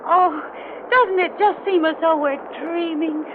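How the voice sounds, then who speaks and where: An actress playing a grateful, excitable young heiress, earnest and enthusiastic, in old radio drama style. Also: female voice, very high-pitched, measured pace. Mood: thrilled. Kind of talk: 1930s radio drama acting. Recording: dull, noisy old radio broadcast.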